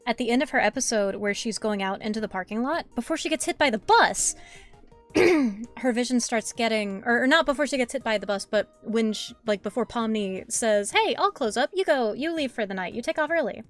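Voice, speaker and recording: A hoarse woman streaming as she draws, chatting in a rambling way with lots of fillers and restarts, swearing now and then. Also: on the high side, animated, fairly quick, soft background music. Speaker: artist streamer. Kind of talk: casual chat while drawing on stream.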